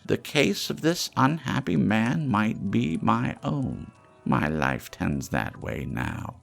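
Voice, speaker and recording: A man's voice: fairly low pitch, very animated, takes it slowly, gravelly, soft background music, pitched above the performer's own voice, exaggerated voice. A man voicing a shaken, repentant old miser, earnest and pleading, in a storybook reading. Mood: horrified, pleading.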